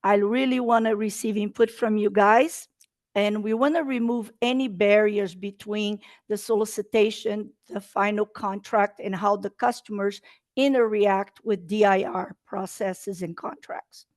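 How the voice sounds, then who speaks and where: A woman's voice: medium pitch, fairly even intonation, measured pace, a little mellow, no echo. Brazilian lady running a webinar, earnest, little slips in her english, dry close mic. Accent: Brazilian Portuguese. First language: Portuguese. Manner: earnest, talks in non-native English with slight slips. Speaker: state procurement presenter. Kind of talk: presenting a webinar.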